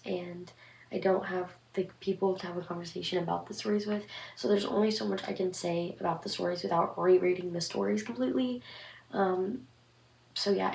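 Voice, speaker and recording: A young woman with a flat, monotone delivery and a gravelly edge to her voice, rambling on with lots of fillers as she hosts her scary story channel. She sits back from the mic in an echoey room. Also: mid-range voice, recorded from a distance. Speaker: horror story channel host. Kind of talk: casual vlog commentary. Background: occasional passing train.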